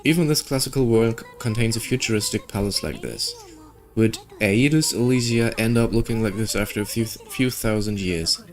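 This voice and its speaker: A man's voice: fairly low pitch, animated, moderate pace. Man with game music behind, commentating casually. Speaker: gaming streamer. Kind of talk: casual game playthrough commentary, reading story dialogue aloud.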